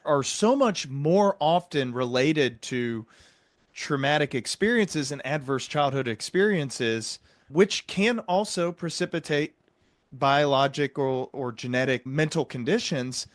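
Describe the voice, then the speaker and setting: A man's voice: on the high side, animated, measured pace, no echo, studio quality. A man hosting a podcast interview, talking in a reflective, explanatory and encouraging way.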